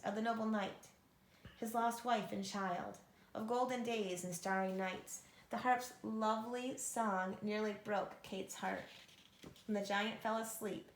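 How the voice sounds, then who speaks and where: A woman reading a storybook aloud to children with expressive narration. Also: mid-range voice. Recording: echoey room.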